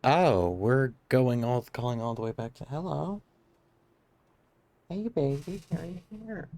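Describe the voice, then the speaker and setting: A man's voice: medium pitch, animated, measured pace, a little mellow, dry recording. A man livestreaming a game, giving casual, offhand commentary in half-finished muttered remarks.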